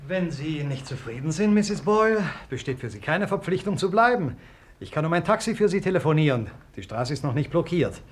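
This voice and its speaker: An actor playing a courteous but curt, dry-humoured husband who runs a guesthouse, in a 1950s German radio play. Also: male voice, a little high, very animated, fairly quick. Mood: firm, curt. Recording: noisy 1950s radio archive recording.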